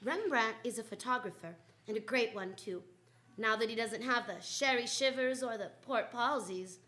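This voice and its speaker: A breezy lady private eye narrates and banters in a live radio play. Her bright, fairly high voice is sassy and wry, always ready with a wisecrack. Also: moderate pace. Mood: wry narrating.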